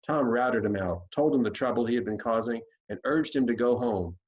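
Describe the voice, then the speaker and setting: A man's voice: mid-range voice, brisk, darkish tone, breathy edge, lo-fi sound. An American man reading a classic storybook aloud as scripted narration. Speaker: audiobook reader.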